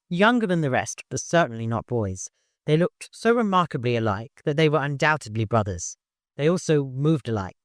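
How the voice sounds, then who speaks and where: Male audiobook narrator, mid-pitched, scripted literary storytelling with a very dry, close sound. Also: no echo.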